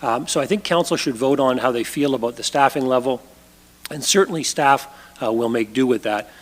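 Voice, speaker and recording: A man speaking as a city staff official at a council meeting, measured and firm, with frequent fillers, his voice going hoarse. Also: mid-range voice, long reverb tail. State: losing his voice. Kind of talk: formal remarks at a council meeting. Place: council chamber.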